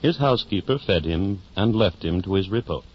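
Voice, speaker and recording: A man's voice: low voice, animated, fairly quick, husky. A man reading scripted narration for a 1950s radio drama in a dramatic storytelling manner. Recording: muffled old radio recording. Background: music.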